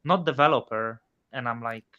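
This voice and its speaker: A man hosting a casual chat, asking probing questions in a slightly halting way. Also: mid-range voice, bright, forceful tone. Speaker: host. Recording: muffled, dull sound.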